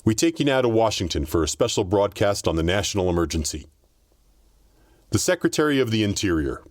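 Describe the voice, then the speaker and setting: Man acting deep, grave radio newsman. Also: big pitch swings, dry recording. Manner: solemn, deliberate delivery. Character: grave male radio newsman announcing catastrophe. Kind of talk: dramatized radio news bulletin.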